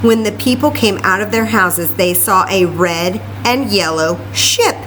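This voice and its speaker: A woman with a medium-pitched voice hosts story time for children. She reads slowly from a picture book and often breaks off into animated, exclamatory asides to her listeners. A faint layer of background noise sits behind her. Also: low-quality recording. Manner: animated, exclamatory, addresses young listeners directly.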